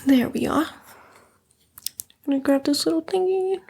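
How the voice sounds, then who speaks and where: A woman playing a coaxing, condescending female demon in a breathy, whispered ASMR roleplay. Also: sits high, moderate pace, mellow. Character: female demon, a fallen angel, coaxing and vain tempter.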